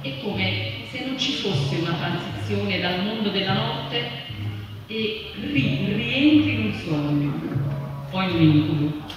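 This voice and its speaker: A woman's voice: mid-range voice, expressive, slow pace, distant mic. Woman reading in a very echoey hall, music behind. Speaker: reader at a literary event. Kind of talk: literary reading aloud. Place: large echoey venue at a public festival. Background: electronic music.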